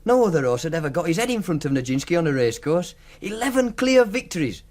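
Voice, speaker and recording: Teasing Yorkshire gambler, higher-pitched male voice, animated, chatty storyteller, radio drama. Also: fast talker. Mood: nostalgic, wistful. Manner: colloquial, storytelling, needling. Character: cocky, teasing Yorkshire racing punter running a betting scheme. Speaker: actor. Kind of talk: radio drama acting, monologue and dialogue.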